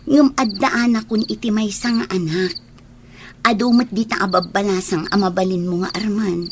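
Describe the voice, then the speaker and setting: A woman's voice: mid-range voice, steady tone, normal speed, lightly acted. Woman acting reluctant single mother, noisy background. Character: reluctant, gentle single mother being courted. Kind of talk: radio drama acting.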